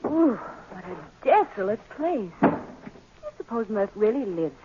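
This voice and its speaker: A plucky, refined lady sleuth's companion, with animated, expressive delivery. It is vintage radio acting on a muffled recording with faint noise. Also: medium pitch, unhurried. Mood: uneasy, plucky. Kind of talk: 1940s radio drama acting.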